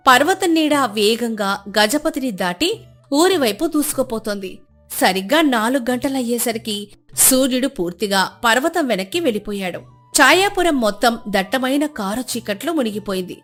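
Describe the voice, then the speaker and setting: A woman narrates a scripted story in a fairly high voice. Soft music plays behind her as she tells the tale. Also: lively intonation, normal speed. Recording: background music under the voice.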